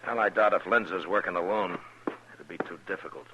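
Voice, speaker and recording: A low, deep frontier marshal acted by a man with an American accent, watchful and plainspoken. He gives orders tersely, in the style of a 1950s radio western. The old recording has clear crackle and noise over it. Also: a little fast, lo-fi sound, natural voice. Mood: watchful, measured.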